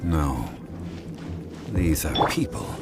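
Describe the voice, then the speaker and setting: An actor voicing a calm, learned male consultant in a game, speaking in a measured, formal way. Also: rumbling low, gravelly, heavily acted. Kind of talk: game voice acting. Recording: game audio with loud music.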